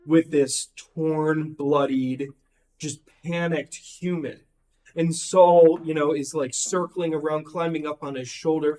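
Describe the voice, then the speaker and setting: Brief: hoarse man running a tabletop game, slow, halting, voice somewhat high. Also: a little mellow. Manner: halting, full of ums and likes. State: hoarse, losing his voice. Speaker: game master.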